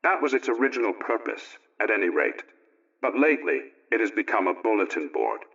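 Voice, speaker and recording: A muffled old radio recording carries a low-pitched, homely househusband. He reads his household tips like a scripted 1920s letter, folksy, orderly and contented. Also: sing-song, a little fast, creaky, a bit bright, exaggerated voice.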